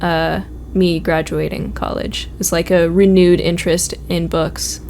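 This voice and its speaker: A woman's voice: fairly low pitch, little pitch movement, a little slow, a little mellow. Agreeable American woman in casual chat. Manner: informal, agreeable, frequent affirmations. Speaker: podcast guest, college administrator. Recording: clearly noisy room ambience.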